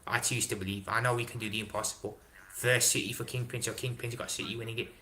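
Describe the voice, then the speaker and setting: A young man hosting a casual live stream, reading chat aloud and answering in an excitable way, swearing and dropping slang. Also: medium pitch, fast talker, a little hiss or hum. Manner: excitable, swears, London slang like innit and big up. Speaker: livestream host reading chat.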